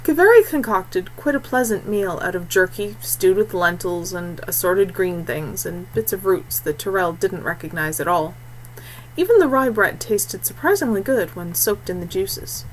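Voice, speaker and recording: A woman's voice: medium pitch, animated, normal speed, a little hiss or hum, rough recording. A woman reading scripted fiction as an audiobook narrator.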